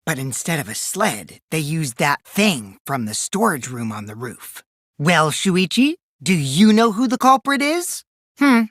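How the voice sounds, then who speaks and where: A man's voice: a little high, sing-song, unhurried, a bit bright, dry recording, clean studio sound, heavily acted. An actor playing a mischievous teenage boy in an anime game dub, a gleeful liar who teases and taunts theatrically, shifting between singsong mockery and sly menace. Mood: scheming, explanatory.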